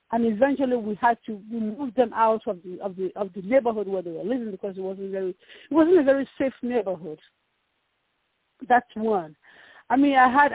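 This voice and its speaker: A woman's voice: on the high side, breathy edge, dry recording. A woman from Nigeria with a Nigerian accent, a ministry founder talking earnestly about her work and often repeating words as she searches for them. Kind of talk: casual interview talk. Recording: muffled phone line.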